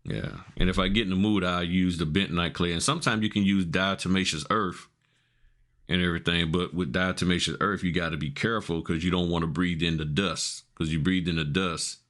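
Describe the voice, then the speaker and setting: A man's voice: low-pitched, steady tone, brisk, a bit bright. An American man from Florida chatting casually as a podcast co-host, telling things in a folksy, colloquial way. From Florida, United States. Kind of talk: casual chat.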